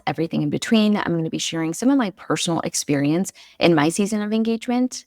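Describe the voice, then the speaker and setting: A young woman hosting a casual faith podcast, talking softly to spare a strained, slightly raspy voice in an earnest, encouraging, chatty way. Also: on the low side, dry recording, studio quality. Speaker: podcast host.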